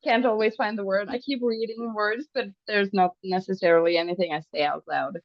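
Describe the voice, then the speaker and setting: A woman with a Norwegian accent chatting as a podcast co-host, haltingly searching for her words in English. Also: mid-range voice, steady tone, no echo, highs cut off. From Norway. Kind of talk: casual podcast conversation.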